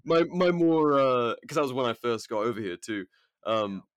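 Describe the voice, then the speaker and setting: A man's voice: mid-range voice, expressive, normal speed, no room sound, clean studio sound. Sweary British man with a drifting accent. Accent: British English blended with American. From rural England. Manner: laid-back, sweary, laughs often. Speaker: podcast host. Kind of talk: casual podcast chat.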